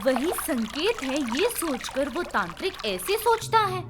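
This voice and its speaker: Very high-pitched woman storyteller. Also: very animated, a little slow, background noise. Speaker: story narrator. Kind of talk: scripted children's story narration. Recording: music behind the voice.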